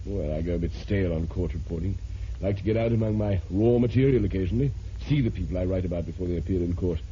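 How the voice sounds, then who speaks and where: Man playing wry crime reporter, genteel, low and very mellow, old radio drama. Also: fast, a little hiss or hum, natural voice. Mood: wry, chatty. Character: urbane, wry crime reporter narrating his case. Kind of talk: vintage radio drama acting and narration. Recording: muffled vintage radio recording.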